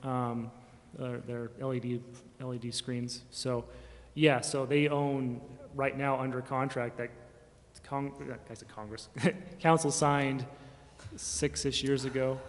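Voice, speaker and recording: A man's voice: mid-range voice, little pitch movement, slow. A man speaking as a city staff member, explaining things informally at a public meeting in a rambling, casual way that often trails off. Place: reverberant council chamber. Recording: hall reverb, faint background noise.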